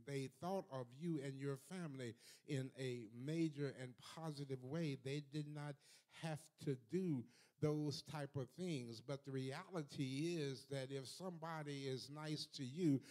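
Older preacher guy on a handheld mic in a church, slow deliberate delivery, gets fired up and repeats himself. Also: mid-range voice, darkish tone. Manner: slow and measured, building to fervent rising repetition. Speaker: pastor. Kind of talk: sermon. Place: church sanctuary. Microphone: handheld microphone.

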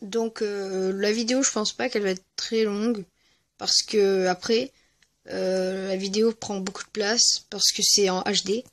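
Casual gameplay commentator with a mid-to-high voice, hesitant and rambling delivery, very dry recording. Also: fairly even intonation, a little slow, a bit bright, no room sound. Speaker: gaming video creator. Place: at home, voice-over on mobile gameplay recording.

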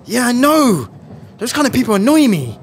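A man's voice: mid-range voice, lively intonation, moderate pace, a little hiss or hum, close to an everyday voice. An actor playing an earnest, streetwise teenage boy with a London accent and slangy delivery in a comic drama. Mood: agreeing, frustrated.

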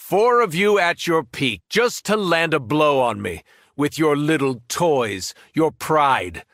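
A fallen alien superhero in a video game, menacing and arrogant. His fairly high, forceful voice swings widely as he delivers cold, mocking threats in a dry, close recording. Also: animated, takes it slowly, no echo, full character voice. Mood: cold menace. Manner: taunting, cold mockery, grandiose threats. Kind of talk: video game villain voice acting.

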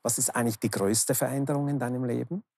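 A man in his fifties hosting a talk, asking questions conversationally with searching, halting phrasing. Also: mid-range voice, fairly even intonation, gravelly, a little mellow, no room sound, clean studio sound. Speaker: talk show host, interviewer. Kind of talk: conversational interviewing. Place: large indoor bar hall, seated interview. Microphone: lapel microphone.

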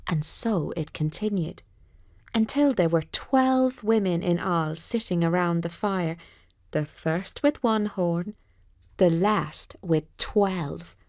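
A woman narrating a scripted bedtime story with measured, deliberate, dramatic phrasing. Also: lowish voice, darkish tone, no room sound, studio quality.

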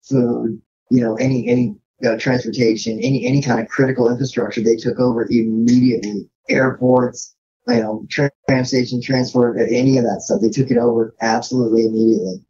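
A man's voice: medium pitch, lively intonation, brisk, creaky, dark tone, slightly rough, muffled recording. An American man talking casually as a podcast guest, explaining things in an informal, practical way and often checking in with the listener. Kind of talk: casual interview talk.

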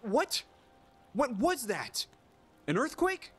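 A man's voice: very high voice, huge pitch swings, slow, slightly rough, heavily acted. A man playing a greedy, callous slaver in a fantasy audio drama. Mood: panicked.

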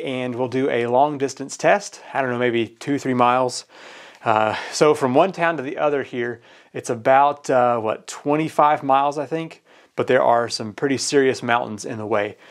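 An American man in his thirties giving a casual, hands-on product review. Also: medium pitch.

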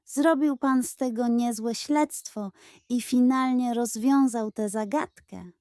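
A woman voicing a small, excitable child frog with a squeaky way of talking in a children's story. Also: slow pace, clean tone, dry recording, studio quality. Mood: delighted. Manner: squeaky, crying out.

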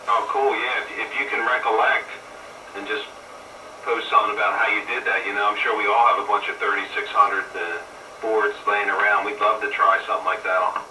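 A man's voice: on the low side, little pitch movement, brisk. A man chatting casually as a radio hobbyist, heard over a two-way radio. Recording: very noisy, distant, echoey CB radio transmission.

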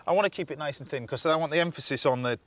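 A man, a TV chef chatting through a cooking demonstration outdoors, instructive and quick to laugh. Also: a little high, fairly bright tone, no echo. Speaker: TV chef host. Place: outdoors at a campsite.

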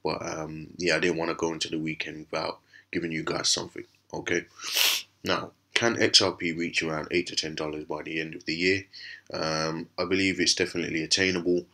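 A man with a raspy, hoarse voice from a cough, hosting a casual crypto commentary over a screen recording, rambling with many fillers and restarts. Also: a bass voice, level tone, a little fast, bright, forceful tone. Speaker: crypto channel host.

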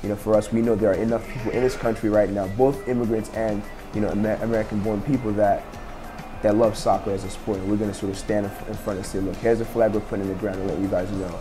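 Young guy from nigeria rambling quick in a bar interview, lowish voice, music behind. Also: little pitch movement. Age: 20s. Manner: quick, rambling, many fillers. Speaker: interviewee, entrepreneur. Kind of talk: casual interview. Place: indoors at a bar.

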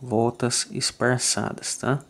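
A Brazilian man calmly walking through a fly tying tutorial, checking in with listeners after each step. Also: medium pitch, takes it slowly, vocal fry. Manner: calm, methodical, ends phrases with "tá?".

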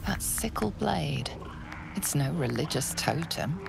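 A woman playing a solemn, ominous narrator of a fantasy game, speaking in a hushed, deliberate way. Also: deep voice, animated, vocal fry, full character voice. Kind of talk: scripted dramatic narration. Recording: loud game music behind.

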